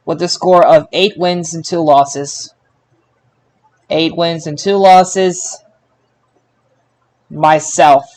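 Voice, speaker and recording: A man hosting a casual sports results podcast, speaking haltingly and drawing out his announcements. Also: on the high side, fairly even intonation, darkish tone, rough recording. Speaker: podcast host.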